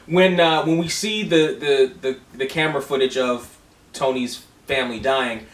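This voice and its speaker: A man reviewing a movie in casual, profane chat, excitable and quick to restart his sentences. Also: mid-range voice, a little hiss or hum. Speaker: co-host of movie review video. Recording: echoey room, far from the mic.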